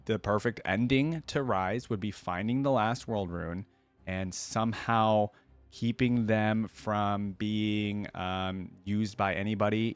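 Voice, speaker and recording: A man with a fairly low voice talks casually to his viewers as a video host. He rambles and reasons aloud, often hedging and drifting off track. The sound is dry, with no room echo. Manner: rambling, digressive, thinking aloud with hedges. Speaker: video creator, reaction host.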